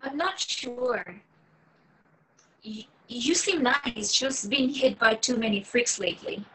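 A woman's voice: a little high, takes it slowly, a bit bright, breathy edge, exaggerated voice, low-quality recording. A woman reading the part of a wary, lonely, sardonic online dater in her late twenties, hesitant and wry, in a film table read. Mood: guarded, casual. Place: small room at home, video call. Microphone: computer mic on a video call.

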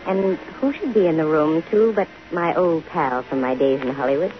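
An actress playing a dry, wisecracking, self-possessed young private detective in a 1950s radio drama. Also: female voice, deep voice, moderate pace, natural voice. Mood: cool narrating. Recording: hissy, muffled 1950 radio broadcast.

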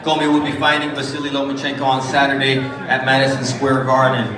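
A man's voice: medium pitch, monotone, brisk. A man introducing an award winner at a dinner, building up with rising emphasis. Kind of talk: award presentation speech. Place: large banquet hall at an awards dinner. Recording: echoey hall from far off with crowd.

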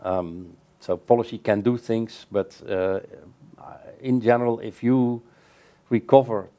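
A middle-aged man, answering panel questions in a measured, explanatory way with frequent restarts. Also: lowish voice, fairly even intonation, mellow. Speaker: keynote speaker. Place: bright room by large windows over a canal.

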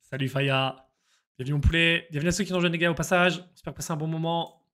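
A man, live-streaming slot games and chatting excitedly with viewers over a headset in a small room. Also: a little high, fast. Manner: excitable, slangy, chats with viewers. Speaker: casino streamer.

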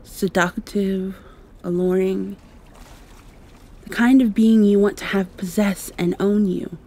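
A woman playing an earnest, insecure young vampire girl in an ASMR roleplay, speaking softly and hesitantly, as if confiding. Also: medium pitch, steady tone, slow pace. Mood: earnest, nervous.

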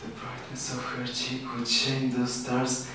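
A man's voice: medium pitch, completely flat delivery, pushed and bright. A young man playing a lovestruck, impulsive youth in a theatrical Shakespearean stage monologue. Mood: impassioned. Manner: theatrical, slow, declamatory. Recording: very echoey room, distant microphone, hiss.

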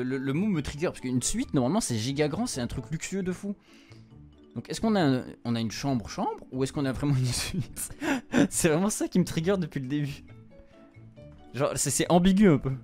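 A man's voice: fairly high pitch, sing-song, moderate pace, a little mellow. A young adult man giving casual gameplay commentary, translating on the fly in informal, slangy speech peppered with English words. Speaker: streamer playing a visual novel. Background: game music.

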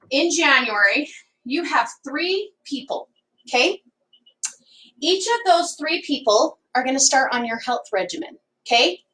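Woman, 40s, salon owner giving an emphatic business talk; fairly high voice, recorded from across the room. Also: a bit bright. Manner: emphatic, ends points with "okay?". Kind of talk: whiteboard business talk to camera. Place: home room with bookshelf and whiteboard. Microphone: camera across the room. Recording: voice from a distance.

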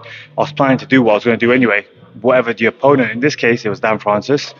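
A young man from Croatia, a professional boxer, speaking in a matter-of-fact way with many pauses and ums in an interview at a gym. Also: medium pitch, fairly even intonation, highs cut off. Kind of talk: casual interview. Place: boxing gym, indoors. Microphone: handheld mic held close.

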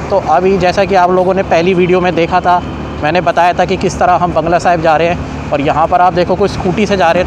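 Young man moto-vlogging over traffic noise. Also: sits high. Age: young adult. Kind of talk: casual moto-vlog commentary. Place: on a moving scooter on city roads at night. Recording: noisy street and vehicle ambience.

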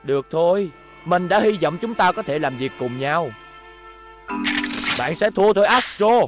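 A man's voice: sits high, lively intonation, measured pace, over music. A man voicing a vengeful, scheming boy robot villain in a cartoon dub, smug and gloating. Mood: menacing. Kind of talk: cartoon dubbing.

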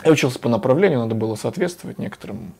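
Mellow-voiced young man, measured and hesitant. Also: mid-range voice, expressive, vocal fry. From Moldova. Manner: measured, hesitant with many filler pauses. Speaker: guest, former local official. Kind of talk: studio interview. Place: TV studio. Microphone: lapel.

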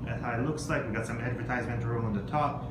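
A man walking through a vending machine demo, talking haltingly with many fillers, filmed on a handheld phone indoors in a high-rise. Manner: halting, many ums and uhs, plain explanatory. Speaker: vending company representative. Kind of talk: product demonstration walkthrough. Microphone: handheld phone camera. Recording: echoey hallway with loud background noise.

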